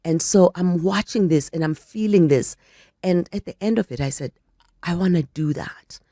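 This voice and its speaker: An older woman, a guest on a radio interview, telling her story in a measured, reflective way, a little croaky from a flu. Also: fairly low pitch, mellow, dry recording, clean studio sound. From South Africa. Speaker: actress and guest.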